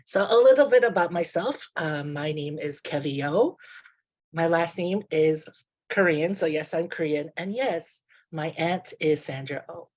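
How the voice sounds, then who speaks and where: A man's voice: fairly high pitch, unhurried. A man from Canada, presenting an online workshop in an upbeat, chatty way with lots of filler words over a video call. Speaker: webinar presenter. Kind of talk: friendly online workshop talk.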